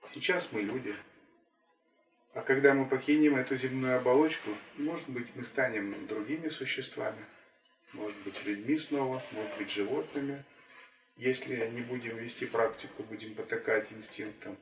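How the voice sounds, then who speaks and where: A man giving a calm, measured spiritual talk as a teacher, repeating key phrases. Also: medium pitch, slight background noise. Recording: roomy, distant from the mic.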